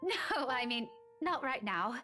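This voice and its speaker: A woman's voice: high-pitched, big pitch swings, takes it slowly, bright, forceful tone, full character voice. A woman voice-acting an earnest, guarded young woman in love in a visual novel. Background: background music.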